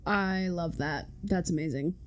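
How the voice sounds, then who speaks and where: A woman's voice: fairly low pitch, unhurried. A woman in her thirties, a podcast co-host, chatting casually with a blunt, swearing, laughing manner. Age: 30s. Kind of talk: casual chat.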